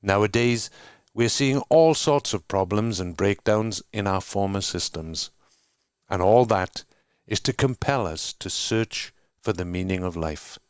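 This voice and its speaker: Calm, smooth-voiced Welsh man on a video call. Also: fairly low pitch, dry recording, studio quality. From Wales. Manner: measured, earnest reading of prepared text. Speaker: co-host. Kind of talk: scripted welcome and reading.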